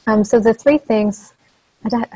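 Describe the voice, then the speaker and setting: A soft-spoken young woman, a teacher, giving an informal educational presentation over a video call. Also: on the high side, unhurried, breathy edge, low-quality recording. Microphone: earphone mic on a video call.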